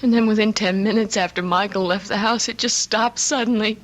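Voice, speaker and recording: An actress playing a weary young pregnant wife in an old radio drama. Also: female voice, mid-range voice, steady tone, fairly quick, close to an everyday voice. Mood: weary, uneasy. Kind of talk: vintage radio drama acting. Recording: muffled old radio recording.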